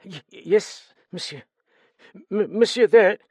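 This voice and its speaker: A man's voice: sits high, takes it slowly, dry recording, pitched above the performer's own voice. Picture a male reader putting on a frightened male servant, stammering through every sentence. His voice sits well above the reader's own, thin and anxious. The sound is close and dry. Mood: nervous.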